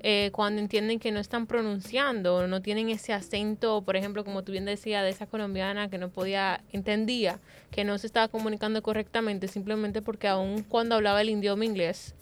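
A woman's voice: medium pitch, level tone, fairly quick, hardly any pauses, smooth. A woman moderating a panel, framing questions for the other speakers.

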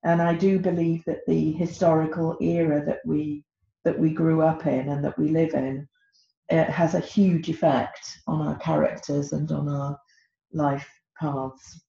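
British woman, middle-aged, low gravelly voice, hesitant, echoey dull recording. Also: little pitch movement, a little slow, dark tone. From Britain. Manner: hesitant, many ums and uhs. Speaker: author guest. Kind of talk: podcast interview.

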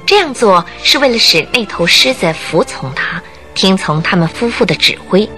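A woman narrates a radio drama in a mid-pitched voice with wide, lively inflection. Her storytelling is expressive and suspenseful. Music plays behind her. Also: moderate pace. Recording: music bed under the narration.

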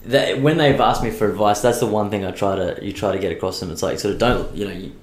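A man's voice: lowish voice, fast talker, slight background noise. Laid-back Australian man, roomy echo. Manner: laid-back, sweary, laughing often. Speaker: podcast host. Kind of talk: casual podcast chat.

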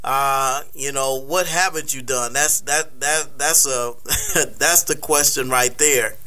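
A man hosting a radio show, interviewing a guest in a slow, halting way with many fillers. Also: medium pitch, steady tone, bright, forceful tone.

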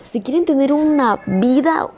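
Young woman, earnest vlogger, warm low tone, phone-recorded with faint room ambience. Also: lively intonation, unhurried, lo-fi sound, a little hiss or hum. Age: young adult. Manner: earnest, rambling, rising to emphatic scolding. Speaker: communications student. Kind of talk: personal vlog monologue.

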